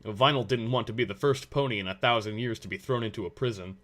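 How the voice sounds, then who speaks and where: A man's voice: lowish voice, fast talker, fairly bright tone. A man narrating a scripted story as its reader.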